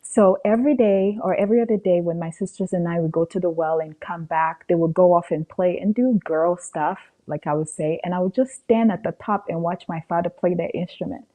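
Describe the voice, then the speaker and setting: A woman's voice: fairly low pitch, brisk, few pauses, warm tone, rough recording. A woman from Cameroon, a podcast guest, telling a personal story in a conversational way and acting out dialogue as she goes.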